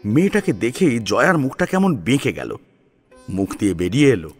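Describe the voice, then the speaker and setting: A man narrating a scripted story in an expressive, dramatic storytelling manner. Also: medium pitch, a little fast, husky, faint music behind. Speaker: narrator and co-presenter.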